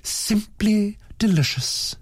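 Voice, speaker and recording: A man reading aloud, voicing a famous, absent-minded actress with a deep contralto voice and a gushing, dreamy manner. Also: lively intonation, takes it slowly, slightly rough, no room sound, studio quality, full character voice.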